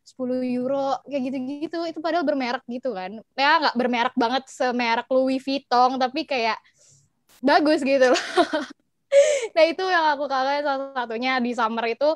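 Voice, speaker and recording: A young woman with an Indonesian accent chatting casually as an interview guest, expressive and giggly, mixing in English and German words. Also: high voice, moderate pace, no echo, clean studio sound. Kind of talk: casual chat.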